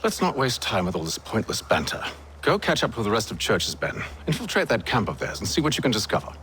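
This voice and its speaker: Haughty middle-aged gentleman, low voice, widely swinging intonation, cold, imperious, clipped, video game drama. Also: very animated, fast, slight background noise. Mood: impatient, commanding.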